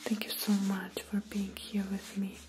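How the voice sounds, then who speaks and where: A woman in her thirties speaking in a soft, breathy, soothing ASMR role-play style as a gentle, reassuring practitioner, close to the mic. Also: mid-range voice, monotone, measured pace, very noisy. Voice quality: soft, breathy near-whisper. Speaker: ASMR performer playing a dermatologist. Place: small indoor room.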